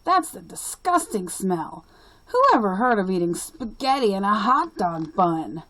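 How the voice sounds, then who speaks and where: A woman's voice: mid-range voice, very animated, a little slow, a bit bright, low-quality recording. A woman voicing a mean schoolboy bully in a storybook, mocking and exaggerated. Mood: disgusted.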